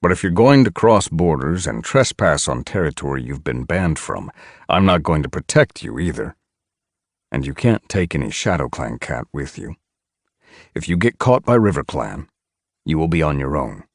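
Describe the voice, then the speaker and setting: A man voicing a stern male cat clan leader who speaks firmly and gruffly in an audiobook. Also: a bass voice, sing-song, normal speed, dry recording, clean studio sound, exaggerated voice. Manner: grunting, firm.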